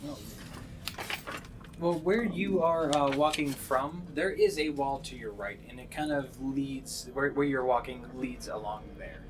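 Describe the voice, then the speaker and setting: A man running a tabletop game, improvising scene descriptions in a halting way as he searches for words. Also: mid-range voice, background noise. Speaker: game master.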